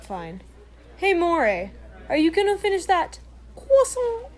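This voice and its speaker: A woman's voice: very high-pitched, wildly swooping pitch, unhurried, full character voice. A woman playing a brash, nosy, foul-mouthed space biker in an audio drama, blunt and irreverent. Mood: bored, needling. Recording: music under the voice.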